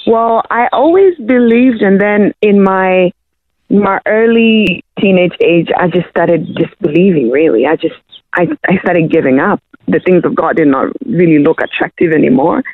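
A woman's voice: on the low side, moderate pace, dry recording. A woman from Zimbabwe, a singer-songwriter guest, answering interview questions with many hesitations. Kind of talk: casual interview. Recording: tinny phone-like line.

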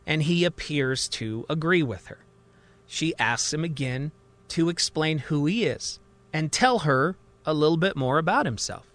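A man's voice: fairly high pitch, fairly bright tone. A man narrating a dramatic story as a podcast host, in a conversational, emphatic way.

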